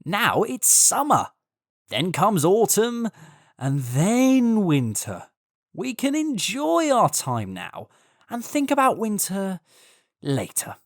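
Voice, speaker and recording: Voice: medium pitch, wildly swooping pitch, takes it slowly, no echo, studio quality, voice pushed up, heavily acted. A storyteller voicing a lazy, merry male grasshopper in a children's tale, teasing and dismissive in a sing-song way. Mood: breezy, dismissive.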